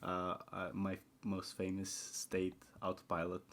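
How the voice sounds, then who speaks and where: A young man in casual podcast chat. He rambles and restarts often, leaning on fillers. His pitch swings widely, bursting up when excited and sinking to a near mumble when he turns thoughtful. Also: a little slow. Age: young adult. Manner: rambling, repeats himself, often says like and uh; swings between excited high pitch and quiet low mumbling. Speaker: podcast guest.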